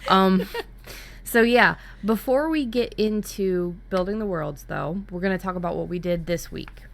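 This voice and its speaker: A woman's voice: mid-range voice, measured pace, gravelly, a little hiss or hum. A woman hosting a podcast, chatting casually and often rephrasing herself as she explains. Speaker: podcast host.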